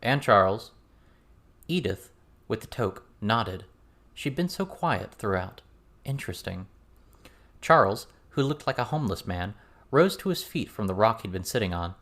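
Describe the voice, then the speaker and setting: Low-voiced man narrating an audiobook. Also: big pitch swings, moderate pace, a bit bright. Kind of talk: scripted narration of fiction, fan-made audiobook.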